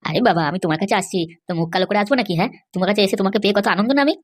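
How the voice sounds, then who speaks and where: Performer voicing an adoring, fast-talking young lover boy. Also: mid-range voice, lightly acted. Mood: placating. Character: eager, adoring young cartoon groom-to-be.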